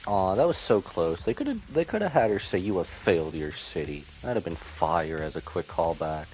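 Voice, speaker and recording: A man's voice: low-pitched, animated, normal speed, a little mellow, low-quality recording. A man giving casual live commentary as he streams a video game. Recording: game music behind the voice. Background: video game music.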